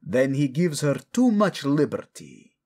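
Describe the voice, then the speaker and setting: A man playing a cold, scheming middle-aged royal father in an audio drama, slow, insinuating and theatrical, with falsely courteous mockery and a gravelly, husky voice. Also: fairly high pitch, very animated, darkish tone. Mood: mocking, needling. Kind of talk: dramatic audio roleplay acting.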